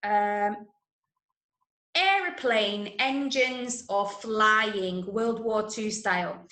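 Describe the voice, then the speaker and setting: A woman's voice: mid-range voice, very slow, pushed and bright. A woman from Manchester with an English accent teaches an online workshop for schoolchildren, encouraging them and often checking in with short tag questions. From Manchester, England. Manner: encouraging, frequent checking "yeah?" and "okay?". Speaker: workshop teacher of radio drama. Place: indoor room, online video call. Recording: roomy, echoing online-call sound.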